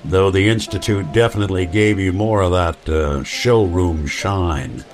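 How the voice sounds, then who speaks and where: Slow, low-voiced, weary old robot detective. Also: male voice, faint music behind. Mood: teasing. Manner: wry, slow, world-weary. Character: weary old synth detective, wry, noir, grieving. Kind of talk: noir radio drama acting.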